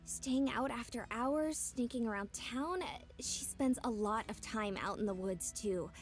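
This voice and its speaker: A woman's voice: fairly high pitch, unhurried, soft background music, close to an everyday voice. An actress voicing a sly, teasing village girl in a visual novel, gossipy and insinuating.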